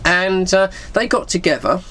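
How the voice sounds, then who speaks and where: A man's voice: high-pitched, normal speed, a bit bright, a little hiss or hum, poor audio. A middle-aged man with an English accent chats casually and informally, rambling through a personal anecdote with plenty of slang. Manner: informal British slang, acts out bits of dialogue. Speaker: vlogger telling personal stories.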